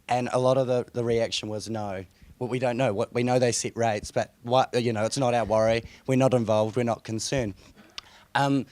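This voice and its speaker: A young man with a croaky voice, speaking hesitantly as a councillor on a conference panel. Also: medium pitch, a little mellow, no room sound. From New Zealand. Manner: hesitant, many fillers and restarts. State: hoarse, croaky voice. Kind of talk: panel discussion. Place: conference room.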